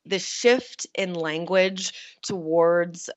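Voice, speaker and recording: A woman, a reporter explaining things in a measured news interview manner, stressing key words. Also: lowish voice, highs cut off. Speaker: energy reporter.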